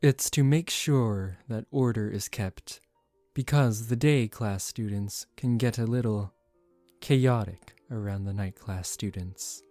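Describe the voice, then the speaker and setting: Man acting as a slow, soft-spoken vampire aristocrat. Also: lowish voice, no echo, soft background music. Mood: gentle, reassuring. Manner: calm, measured, formal, gently commanding. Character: composed, aristocratic young male vampire, protective and secretive. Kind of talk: soft-spoken ASMR roleplay.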